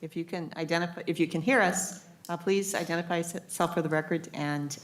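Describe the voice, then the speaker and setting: A woman's voice: on the low side, steady tone, a little fast. A woman chairing a hearing calls on each testifier in a brief, procedural way. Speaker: committee chair.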